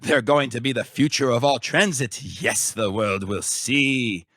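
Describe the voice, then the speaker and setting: An actor playing an eccentric, grandiose mad scientist in a video game, secretly villainous, with a theatrical, pompous, wordy manner. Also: male voice, mid-range voice, measured pace, fairly bright tone, dry recording, studio quality. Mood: explanatory, pompous. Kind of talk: video game character voice acting.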